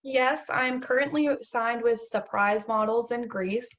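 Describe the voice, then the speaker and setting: A woman's voice: fairly high pitch, a little slow, a bit bright, highs cut off. A young woman with a slight Southern US accent, chatting as a podcast guest, chatty and self-deprecating with many fillers. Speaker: guest model. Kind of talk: casual interview chat.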